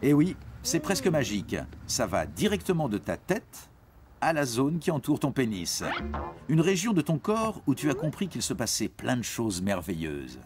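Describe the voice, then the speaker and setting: A man's voice: mid-range voice, very animated, normal speed, crowd noise. A man narrating an educational cartoon in a playful storytelling way, speaking directly to a young listener. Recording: music under the voice. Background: background music.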